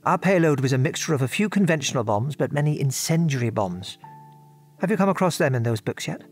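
A man's voice: a little high, expressive, a little fast, breathy edge. Audio drama: British ex-RAF father, reflective, hesitant, genteel, haunted by remorse, music underneath. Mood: remorseful, haunted. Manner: hesitant, reflective, genteel old-fashioned turns of phrase. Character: remorseful, modest English father, former RAF bomb aimer. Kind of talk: audio drama acting, reminiscing monologue.

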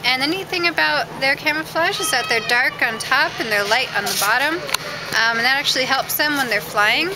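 A woman's voice: high voice, a little fast. A woman volunteer at a zoo explaining owls to visitors in a patient, casual way with small fillers. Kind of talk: casual outdoor animal talk to visitors. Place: outdoors at a zoo. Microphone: far camera. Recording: loud outdoor noise, camera from a distance.

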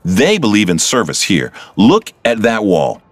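Criminal mastermind, very deep, swooping intonation, smug, taunting, theatrical, audio drama. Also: male voice, huge pitch swings, no room sound, exaggerated voice. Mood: mocking, amused. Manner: suave, condescending, theatrical, drawn-out words.

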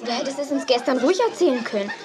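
A woman's voice: high-pitched, lively intonation, very fast. A woman playing a feisty, indignant, sarcastic young girl who speaks her mind in a children's audio drama. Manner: outspoken, sarcastic. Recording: busy crowd chatter behind.

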